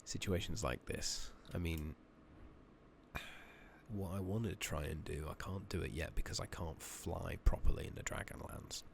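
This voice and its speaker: A man giving soft-spoken, partly whispered ASMR commentary on a game, muttering casually to himself on a noisy home mic with clicking. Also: deep voice, normal speed, creaky. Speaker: ASMR gaming streamer. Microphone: makeshift rigged-up close microphone.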